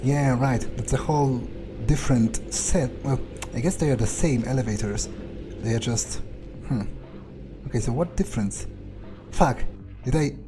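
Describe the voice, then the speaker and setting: A man's voice: medium pitch, moderate pace, rough recording. Man musing aloud over game music. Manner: musing to himself, casual, swears freely. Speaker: gamer playing in character as a vampire. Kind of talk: in-character video game playthrough, thinking aloud. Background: music behind the voice.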